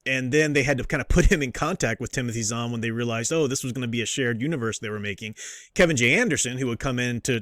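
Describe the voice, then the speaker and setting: A man's voice: medium pitch, a bit bright, dry recording. A man hosts an off-the-cuff review in a mid-range voice. He rambles and doubles back on his sentences with a fan's enthusiasm. The sound is dry, with little room to it. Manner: rambling, self-interrupting, enthusiastic fan talk. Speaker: host reviewing books and comics. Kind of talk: casual unscripted review commentary.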